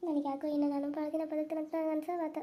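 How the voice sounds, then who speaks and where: A woman's voice: high-pitched, steady tone, racing, dark tone. A woman voicing a stern older village mother-in-law with a North Karnataka accent, talking in a bossy, scolding way. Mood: hushed regret. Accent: North Karnataka Kannada.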